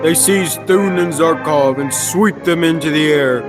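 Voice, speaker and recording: A man's voice: on the high side, a little slow, music in the background. A young man narrating an old-time radio adventure serial from a script. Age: teens to young adult.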